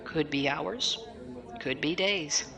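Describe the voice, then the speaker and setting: A woman's voice: deep voice, slow, creaky, a crowd in the background, full character voice. A woman playing a no-nonsense military defense lawyer in an audio drama, with a blunt, clipped, dry manner. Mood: frank, businesslike.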